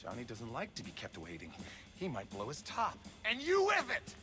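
A man's voice: medium pitch, huge pitch swings, normal speed, bright, forceful tone, faint music behind. An actor playing a flamboyant, menacing stage-showman villain in a video game, teasing and sinister. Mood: gleeful threat. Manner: theatrical, showy, sinister.

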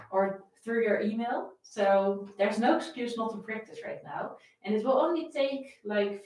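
A woman's voice: mid-range voice, darkish tone, very echoey, recorded from a distance, rough recording. A young woman with a Dutch accent, a violin teacher chatting and greeting viewers by name before a live-stream lesson from her home. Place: home room with framed pictures, indoors.

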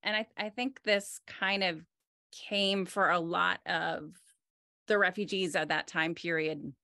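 Low, gravelly-voiced woman on a video call. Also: measured pace, dry recording, studio quality. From United States. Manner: relaxed, thoughtful, many fillers and restarts. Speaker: artist. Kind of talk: conversational interview. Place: home room with wood-panelled wall.

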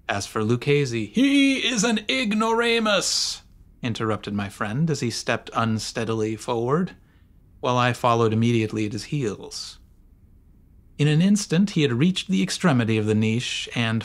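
A man narrating a gothic story as an audiobook, in a measured, theatrical manner. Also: mid-range voice. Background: faint music and sound design.

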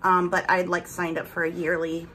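A woman's voice: on the low side, monotone, normal speed, bright, forceful tone, a little hiss or hum. A woman in her thirties chatting casually in a home vlog, rambling while she unboxes products, with a slight lisp from aligners. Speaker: vlogger. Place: bedroom at home, indoors.

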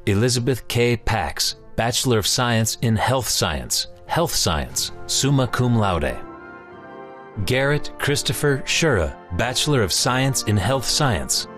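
A man reading out graduates' names and degrees at a commencement in a steady, formal announcing manner. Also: mid-range voice. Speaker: name reader.

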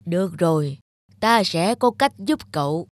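An actor playing a wise old village man with measured authority in an animated folktale. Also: high voice. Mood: calm, authoritative.

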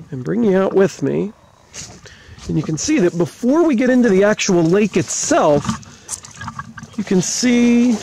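A man's voice: high voice, gravelly, darkish tone, a little hiss or hum. A man in his forties, a schoolteacher, reading aloud outdoors and explaining words simply to pupils, recorded on a camera a few steps away. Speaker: elementary school teacher. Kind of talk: educational read-aloud with explanations. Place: outdoors beside a lakeside marsh.

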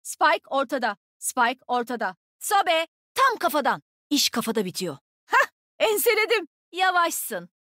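A woman playing a cocky, agile young female fighter in a video game, energetic, playful and teasing. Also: sits high, unhurried, no echo, studio quality, heavily acted. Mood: brisk, urgent, then taunting. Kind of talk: game character voice lines, short combat callouts.

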